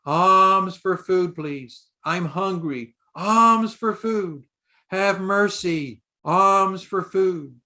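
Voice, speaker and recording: A man's voice: sits high, pushed and bright, pitched above the performer's own voice, exaggerated voice. A man voicing a poor young beggar boy in a storybook, earnest and frightened, with a pleading manner. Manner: drawn-out, pleading begging cries.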